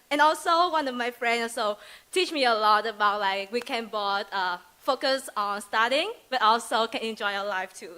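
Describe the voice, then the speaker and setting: A young woman from Vietnam speaks English with a Vietnamese accent, giving a student wrap-up presentation. Her voice is high, bright and very clear. She talks in a chatty, hesitant way with many fillers and small giggles. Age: young adult. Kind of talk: presentation speech.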